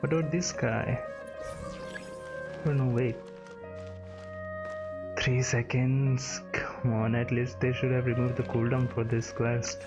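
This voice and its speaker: A gamer with a medium-pitched, fairly even voice gives casual commentary. The reactions are short and muttered, with clear game music behind. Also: slow pace, long pauses, highs cut off.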